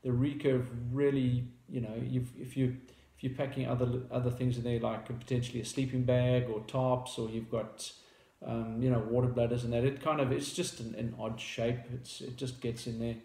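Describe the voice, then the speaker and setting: A man's voice: medium pitch, flat delivery, normal speed, vocal fry, hardly any pauses, warm tone, echoey room. A man in his thirties with a South African accent, a company founder explaining a product in casual talk to camera, hesitant with many fillers and restarts. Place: small indoor room at a table.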